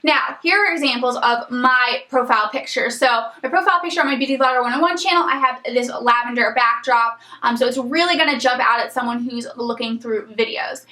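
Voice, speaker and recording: A young woman giving casual tips to camera as a beauty vlogger, chatty and rambling with lots of ums, her voice a little raspy from a coming cold. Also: a little high, fairly quick, hardly any pauses, sharp tone, roomy sound, low-quality recording. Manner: chatty, rambling, many ums and you-knows.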